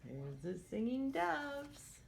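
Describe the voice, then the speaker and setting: A woman hosting children's storytime, reading a picture book aloud in an expressive, sing-song manner and talking directly to the young listeners. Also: sits high, slow pace, vocal fry, background noise.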